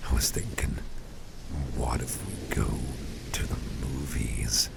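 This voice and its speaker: A caring, easygoing boss acted by a man murmurs in an extremely low, gravelly voice. He rambles softly and reassuringly, as in an ASMR roleplay, with clear background noise behind him. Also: animated, slow pace, heavily acted. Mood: relaxed, musing. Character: caring, easygoing male boss, gently soothing and chatty. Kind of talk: ASMR roleplay, soft personal-attention talk.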